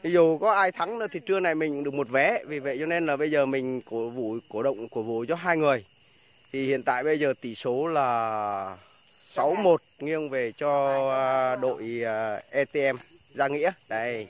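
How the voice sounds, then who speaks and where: A man's voice: medium pitch, moderate pace. A man doing playful mock sports commentary while filming outdoors at a fishing pond. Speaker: vlogger behind the camera, match commentator.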